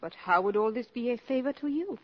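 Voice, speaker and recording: A haughty countess, vintage radio. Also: female voice, a little high, lively intonation, a little fast. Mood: weary, wary. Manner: haughty, dry, imperious. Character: proud, worldly, impoverished countess, haughty and wry. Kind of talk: 1950s American radio drama acting. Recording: muffled vintage 1950s radio recording.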